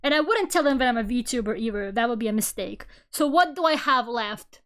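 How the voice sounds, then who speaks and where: A woman's voice: on the high side, animated, a little fast, a bit bright. A woman streamer chatting casually while she draws, talking in a rambling, self-deprecating way. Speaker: VTuber streamer. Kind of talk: casual chat while drawing.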